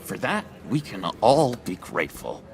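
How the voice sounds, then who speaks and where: An actor playing an eccentric, unsettling former asylum inmate in a video game, awkwardly eager, with halting, theatrical delivery that swings between giggly and brooding. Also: male voice, mid-range voice, sing-song. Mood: mock sympathy.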